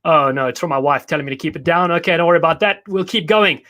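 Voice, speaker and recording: An upbeat, chatty man hosting a live show, with a fairly high voice. He keeps the banter light and friendly as he puts questions to his guests. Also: fast talker, bright, forceful tone. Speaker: host. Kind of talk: casual live show interview.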